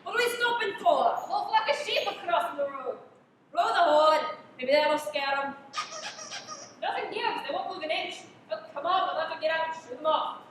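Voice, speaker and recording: Girl playing a curt bossy henchman in a radio play, really high voice, big echoey hall. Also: expressive, normal speed. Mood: exasperated, urgent. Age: young adult. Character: gruff, bossy henchman posing as police. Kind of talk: live radio play acting. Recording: echoey hall, noisy, distant mic.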